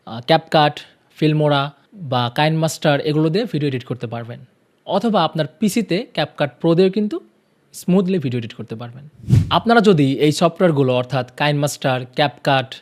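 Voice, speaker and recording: A man's voice: a little high, a little mellow. A man presenting an instructional tutorial, guiding viewers directly step by step.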